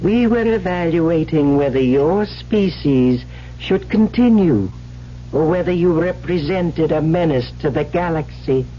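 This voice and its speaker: An actor playing a cold, superior alien villain in a radio drama, speaking in a measured, formal, condescending way. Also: male voice, on the high side, expressive. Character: cold, superior male alien plotting humanity's destruction. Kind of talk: 1970s radio drama acting. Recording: dull, faintly hissy old radio recording.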